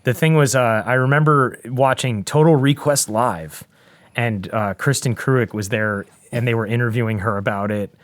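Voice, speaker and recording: A man's voice: medium pitch, moderate pace, no room sound. Enthusiastic man chatting, close dry sound. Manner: enthusiastic, rambling. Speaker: show host. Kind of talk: casual chat about video games and movies.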